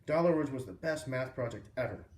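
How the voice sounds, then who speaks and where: A man reading a children's book aloud as a storyteller, with expressive, emphatic phrasing. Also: medium pitch, fairly quick. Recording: roomy echo, distant microphone.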